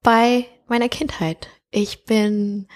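A woman in her thirties from Germany, a guest telling her life story in a relaxed, chatty way with many fillers, recorded in a coworking space. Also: mid-range voice, slow. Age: 30s. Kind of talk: conversational interview.

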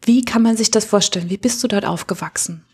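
A woman's voice: medium pitch, quick pace, husky. A woman hosting a podcast, chatting casually and asking the guest friendly questions. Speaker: podcast host and interviewer.

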